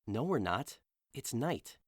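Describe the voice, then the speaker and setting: A man's voice: medium pitch, very animated, slow pace, husky, dry recording, clean studio sound, exaggerated voice. An actor playing a brooding, lovesick young nobleman in an audio drama, earnest and reluctant. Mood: gloomy.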